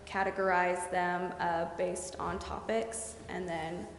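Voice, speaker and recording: A woman's voice: fairly low pitch, steady tone, slow pace. A woman presenting and explaining at a public meeting in a council chamber. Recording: echoey hall, noisy background.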